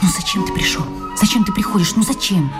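Woman acting curt, angry hairdresser, animated pitch. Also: quick pace, close to an everyday voice. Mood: weary, bitter. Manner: curt, irritated. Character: angry, weary, contemptuous female hairdresser. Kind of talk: radio play acting. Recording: loud music under the voice. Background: loud music.

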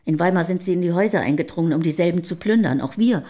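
A proud, measured middle-aged wife. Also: female voice, lowish voice, expressive, mellow. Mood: grave narration. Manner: measured, formal period diction. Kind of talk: German radio play dialogue.

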